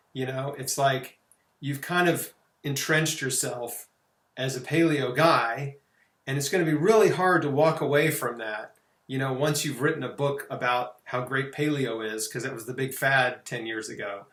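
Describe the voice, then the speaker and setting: A middle-aged man speaks in a medium-pitched voice with noticeable room echo. He hosts a casual conversation, explaining things informally in an anecdotal, loosely phrased way. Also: normal speed, a bit bright. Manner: informal, anecdotal, punctuated with "you know". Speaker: interview host. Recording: roomy, echoing sound.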